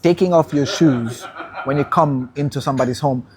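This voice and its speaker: A man's voice: mid-range voice, a little hiss or hum. A man from Senegal, a guest in an interview, talking thoughtfully and stopping to restart his sentences. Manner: thoughtful, measured, with hesitant restarts. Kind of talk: conversational interview.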